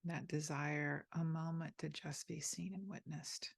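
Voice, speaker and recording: A woman leading a guided meditation, speaking softly and gently inviting the listener, with pauses between phrases. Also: low-pitched, fairly even intonation, creaky, breathy edge. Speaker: meditation teacher.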